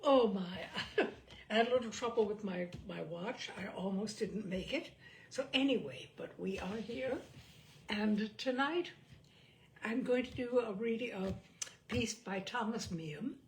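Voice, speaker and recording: A woman hosting a reading series, speaking in an expressive, deliberate, theatrical storytelling style. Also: medium pitch, slight background noise. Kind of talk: expressive literary reading with chatty introduction.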